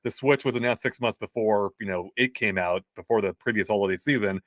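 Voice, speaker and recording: A man's voice: lowish voice, fairly bright tone, dry recording, clean studio sound. A man appearing as a guest on a gaming chat show, laying out release history precisely and explaining his points. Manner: quick, explanatory, precise about dates. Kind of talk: casual video game chat.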